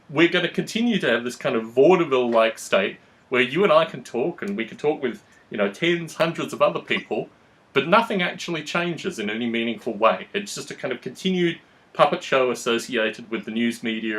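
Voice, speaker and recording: A man giving opinionated political talk as a podcast host, in a deliberate, wordy manner with formal phrasing. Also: medium pitch, fairly bright tone, low-quality recording.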